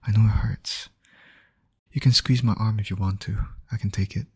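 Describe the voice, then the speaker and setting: A man acting as a calm, caring medic in an ASMR roleplay, gently reassuring a patient and explaining each step. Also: on the low side, moderate pace, breathy edge. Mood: comforting. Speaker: voice actor in roleplay audio.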